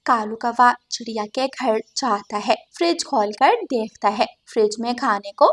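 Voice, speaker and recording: A woman's voice: fairly high pitch, a little slow. A woman narrating a scripted cartoon story as the storyteller.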